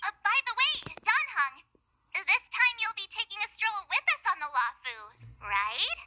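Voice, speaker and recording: A woman voicing a perky, playful young adventurer girl in an animated video game style. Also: very high-pitched, moderate pace, noisy background. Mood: playful, teasing.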